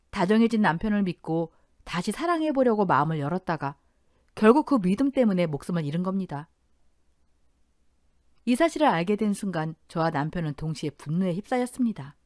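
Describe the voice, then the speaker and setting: Intense, lively woman storyteller. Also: lowish voice, no echo, clean studio sound. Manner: intense, emotional first-person telling. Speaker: narrator of a first-person story. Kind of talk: dramatic audio-drama storytelling narration.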